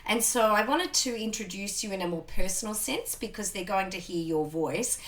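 A woman hosts a podcast. She talks in a chatty, rambling way, full of ums and restarts. Her voice sits at an ordinary middle pitch. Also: normal speed, a bit bright. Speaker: podcast host. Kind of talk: casual conversational interview.

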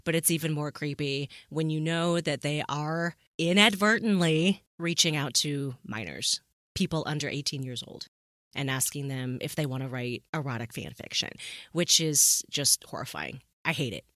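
A woman's voice: low voice, moderate pace, fairly bright tone, no echo, clean studio sound. A woman co-hosting a podcast discussion, speaking in an emphatic, blunt, casually sweary and opinionated way. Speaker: podcast co-host.

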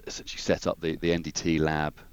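A man's voice: extremely low pitch, completely flat delivery, fast talker, smooth. A man, a guest engineer, talking through his career in a hesitant, thoughtful way with many restarts. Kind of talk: casual interview conversation.

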